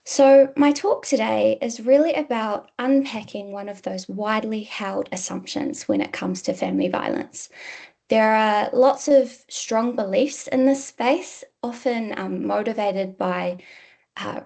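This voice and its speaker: A woman with a New Zealand accent, a university lecturer giving an academic presentation in a measured way, with frequent "um" fillers. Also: medium pitch, gravelly, mellow.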